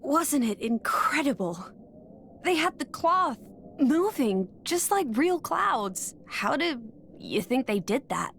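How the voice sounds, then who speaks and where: A woman playing a sweet, gentle young woman in a video game, earnest and full of wonder. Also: sits high, very animated, slow, gravelly, slight background noise, exaggerated voice. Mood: delighted wonder. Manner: marvelling, earnest. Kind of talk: video game cutscene acting.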